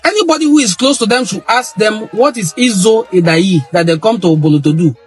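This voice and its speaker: A man's voice: high voice, animated, brisk, faint music behind. A middle-aged Nigerian man giving an impassioned monologue on history, emphatic and repeating phrases for stress. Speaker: cultural history commentator.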